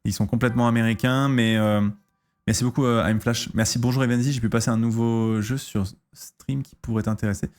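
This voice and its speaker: A man in his thirties from France, chatting casually on a stream, answering questions and pausing often with fillers and restarts. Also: mid-range voice, steady tone, a little mellow. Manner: hesitant, lots of fillers and restarts.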